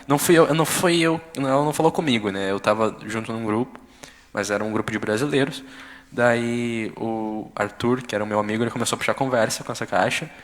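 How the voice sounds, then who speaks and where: A man's voice: mid-range voice, fast. Young Brazilian man in a reverberant hall. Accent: Brazilian Portuguese. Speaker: exchange student giving a testimony. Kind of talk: informal spoken account at a public session. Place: council chamber. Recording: echoey hall with long reverb.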